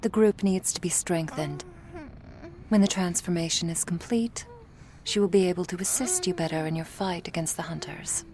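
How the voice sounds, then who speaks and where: A woman playing a cool, menacing female vampire crime boss in an ASMR roleplay, speaking in a measured, authoritative way. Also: on the low side, expressive, darkish tone. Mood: quietly menacing.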